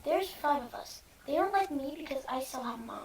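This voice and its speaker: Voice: sits high, lively intonation, normal speed, a little hiss or hum. A performer playing an innocent, pleading little foster boy who longs for his mother, in a screenplay table read. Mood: timid, hopeful.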